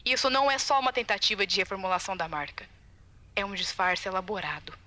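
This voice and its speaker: A woman's voice: medium pitch, lively intonation, quick pace, sharp tone, dry recording. A woman with a Brazilian accent playing an anxious, determined game tester who leaves secret warning tapes in a confiding, urgent way, in a video game fan dub. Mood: indignant. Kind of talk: recorded audio-log monologue, video game fan dub.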